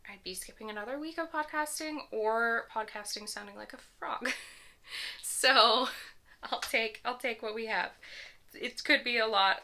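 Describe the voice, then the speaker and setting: A woman's voice: fairly high pitch, pushed and bright. A young woman hosting a knitting podcast in a casual, rambling chat with small laughs, her voice a little strained after a concert. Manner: chatty, rambling, with little laughs and self-corrections.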